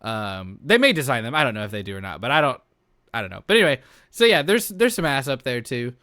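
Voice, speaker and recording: A man's voice: medium pitch, sing-song, quick pace, pushed and bright, dry recording, studio quality. A man hosting a podcast in casual chat, talking in a sweary, slangy, enthusiastic way. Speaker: podcast host and player.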